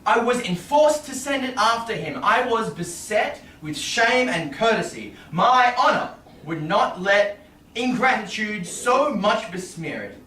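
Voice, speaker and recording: Slow, pleading young nobleman played by a man. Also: high voice, very animated, bright, forceful tone, full character voice. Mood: earnest pleading. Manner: earnest, imploring. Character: earnest, pleading young nobleman husband, guilt-ridden. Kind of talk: staged Shakespeare reading. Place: small indoor theatre stage. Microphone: far audience camera. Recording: roomy, noisy distant audience camera.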